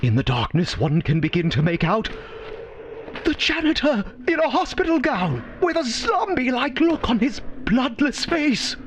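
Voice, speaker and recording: A man's voice: extremely high pitch, big pitch swings, a little slow, slight background noise, exaggerated voice. A man playing a theatrical narrator in an audio drama, telling the story with suspense. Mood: hushed, dramatic.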